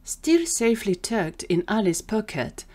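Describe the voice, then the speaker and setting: A woman's voice: medium pitch, very animated, measured pace, mellow. A woman narrating scripted audio fiction. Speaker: narrator and performer.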